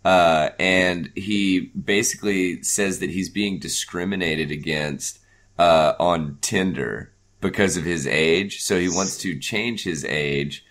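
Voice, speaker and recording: A young man co-hosting a podcast in casual chat, laughing often and halting with fillers. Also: low-pitched, a bit bright. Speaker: podcast co-host.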